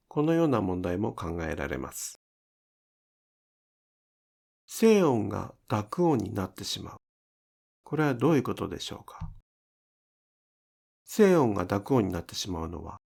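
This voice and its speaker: A man's voice: medium pitch, gravelly, a little mellow. A man giving scripted instructional narration as a pronunciation teacher, enunciating carefully step by step.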